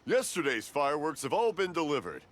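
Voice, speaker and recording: An actor playing a cheerful elderly fireworks maker who is hard of hearing and keeps mishearing and rambling. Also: male voice, a little high, very animated, a little fast, background noise. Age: older. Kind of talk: video game voice acting. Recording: game cutscene with music behind.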